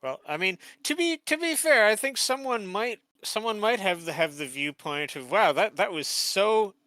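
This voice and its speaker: A man in casual group chat, speaking in halting, repeated starts. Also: high voice, expressive, sharp tone, no room sound.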